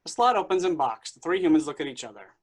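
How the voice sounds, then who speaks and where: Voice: mid-range voice, sing-song, quick pace, husky. A narrator reading out stage directions in a staged play reading, in scripted narration.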